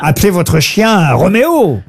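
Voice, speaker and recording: A man hosting a radio show, bantering and teasing callers with quick asides. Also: sits high, sing-song, breathy edge.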